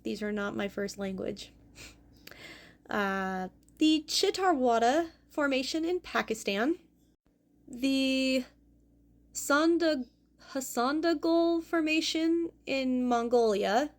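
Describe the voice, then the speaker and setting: Female host-teacher, young adult, slightly high voice with some vocal fry, clear explanatory lesson delivery. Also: lively intonation, slow pace. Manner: clear explanatory delivery, careful over hard names. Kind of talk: educational fossil presentation.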